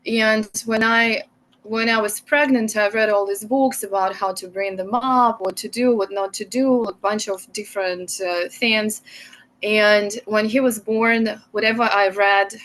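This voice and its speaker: A woman from Russia with a Russian accent, a mother telling her story as a guest on an interview show, softly and haltingly, with frequent laughs. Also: mid-range voice, fairly even intonation, slight background noise, rough recording.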